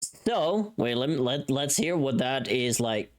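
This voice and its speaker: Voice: mid-range voice, animated, measured pace. A livestream host chatting casually, rambling and thinking aloud to themselves.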